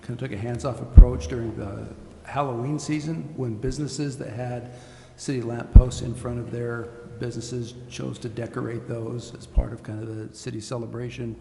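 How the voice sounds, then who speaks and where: A man speaking as a city official at a public meeting, explaining in hesitant, deliberate phrases. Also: medium pitch, fairly even intonation, vocal fry, mellow. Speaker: city administrator. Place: council chambers. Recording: reverberant hall with faint noise.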